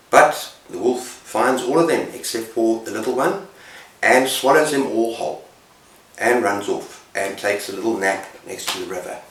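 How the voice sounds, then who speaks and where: A man casually retelling stories as a book reviewer, with dramatic pauses and an emphatic delivery. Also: lowish voice, fairly bright tone. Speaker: book reviewer on a video channel. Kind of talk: casual story retelling to camera. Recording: roomy echo, distant microphone.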